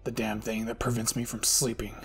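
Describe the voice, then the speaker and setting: Man voicing a gruff, curt young anime captain. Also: medium pitch, flat delivery, a little fast, soft background music, natural voice. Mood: cold, harsh. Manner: curt, harsh, growling delivery. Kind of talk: anime fan fiction roleplay reading.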